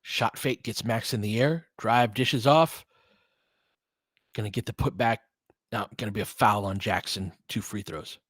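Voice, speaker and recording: A man's voice: on the low side, unhurried, a bit bright, no room sound, studio quality. A man doing casual basketball play-by-play, mixing calls of the action with opinionated, conversational analysis and coaching the players aloud. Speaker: fan play-by-play commentator.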